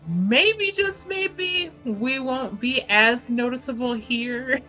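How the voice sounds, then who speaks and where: A woman's voice: high-pitched, takes it slowly, bright, forceful tone, soft background music, rough recording. A woman chatting casually as a player in a tabletop roleplaying game, informal and thinking aloud, with the odd swear word.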